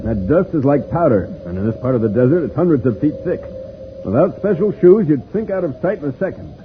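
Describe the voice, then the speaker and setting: Earnest, protective male space leader, fairly high voice, explains steadily, tinny vintage radio serial. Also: very animated, brisk. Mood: firm, explanatory. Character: calm, protective male space-adventure hero leading children. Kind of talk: 1950s radio serial acting. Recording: tinny, hissy old radio recording.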